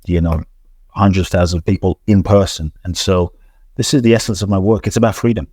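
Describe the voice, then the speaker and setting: Earnest man with a low dry voice. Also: steady tone, a little fast, a little mellow, breathy edge, no echo. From grew up in London. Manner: earnest, repetitive, frequent restarts and stammered repeats. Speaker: transformational teacher, podcast guest. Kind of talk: reflective interview talk.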